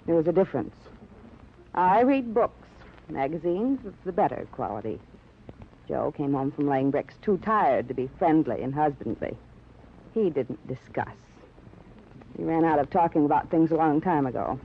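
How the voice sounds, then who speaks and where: Self-absorbed housewife widow, fluttery, affectedly refined, swooping intonation on a tinny, hissy vintage radio drama. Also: female voice, deep voice, expressive, normal speed. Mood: plaintive, defensive. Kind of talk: 1950s radio drama acting. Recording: tinny, noisy old radio recording.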